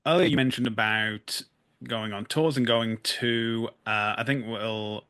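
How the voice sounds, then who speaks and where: A man's voice: medium pitch, fairly even intonation, measured pace, bright, forceful tone, clean tone, dry recording. A man hosting a casual podcast interview, not from Canada, affirming and keen as he asks questions. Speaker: podcast host.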